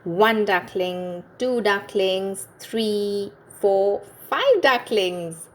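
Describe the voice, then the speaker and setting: Lady doing a fussy storybook mama duck, takes it slow, exclaims over her babies. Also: mid-range voice, expressive. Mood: happy counting. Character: mother duck, fussy parent of new ducklings.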